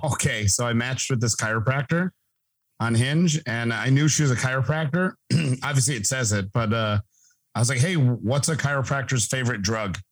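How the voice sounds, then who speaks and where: A man in his thirties hosts a casual podcast, cracking jokes and wandering through his thoughts with plenty of "uh" and "like." His voice sits at an ordinary middle pitch. It is picked up close and dry, with no room sound. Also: normal speed, no echo. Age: 30s. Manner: joking, rambling, full of fillers. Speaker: podcast host. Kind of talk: casual chat.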